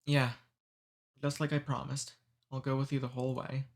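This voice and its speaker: A man voicing a friendly, centuries-old male demon in an ASMR roleplay. He talks calmly and teases gently. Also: medium pitch, moderate pace, vocal fry, natural voice. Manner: calm, friendly, gently teasing.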